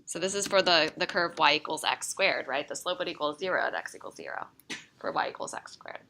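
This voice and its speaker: Woman teacher walking a class thru calculus, bright creaky voice, keeps asking right?, bit worn out voice. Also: low voice, a little fast, slight background noise. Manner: explains step by step, checking in with "right?". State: slightly losing her voice. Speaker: math teacher. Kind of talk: classroom lecture.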